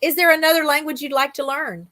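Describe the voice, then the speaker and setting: A woman serves as interviewer in a casual livestream Q&A. Her voice sits a little high. It is recorded dry, with hardly any room around it. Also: steady tone, fast, sharp tone, no room sound. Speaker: interviewer reading out questions.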